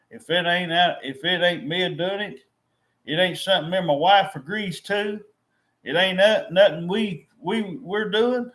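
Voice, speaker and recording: Man in middle age, fairly high voice, rambling frustrated vent to camera with lots of restarts. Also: a bit bright. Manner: frustrated, repetitive, with many restarts. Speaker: vlogger.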